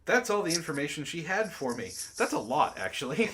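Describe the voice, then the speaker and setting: Guy hosting a book club stream, highish voice that swings all over, exasperated jokey asides. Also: big pitch swings, brisk, sharp tone. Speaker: host reading a book aloud for a livestream book club. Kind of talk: read-aloud mixed with casual jokey banter.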